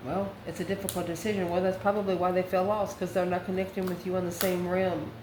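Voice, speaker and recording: A woman's voice: on the low side, little pitch movement, fast. Woman reading tarot in an echoey, noisy room. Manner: mystical, addresses the listener directly and affectionately. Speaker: tarot reader, host. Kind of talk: casual spoken tarot card reading to camera.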